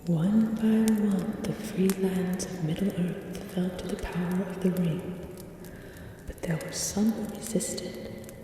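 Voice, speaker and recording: A woman voicing a solemn narrator of an ancient fantasy legend in breathy ASMR whispers, with slow, dramatic pauses. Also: on the low side, fairly even intonation, mellow. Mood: grave. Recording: roomy long reverb, distant, background hiss.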